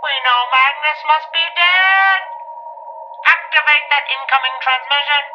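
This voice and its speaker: Casting: actress as a commanding, doubting space officer, extremely high voice, lo-fi sound with music underneath. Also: female voice, unhurried. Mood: sceptical, commanding. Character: bossy, sceptical, sharp-tongued female spaceship crewmate. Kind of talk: sci-fi radio play dialogue.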